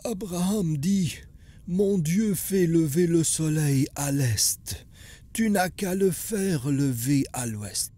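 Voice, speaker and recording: A solemn cartoon narrator, voiced by a woman, relaying a prophet's words. The delivery is measured and unhurried, with a soft-edged, husky tone. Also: low voice.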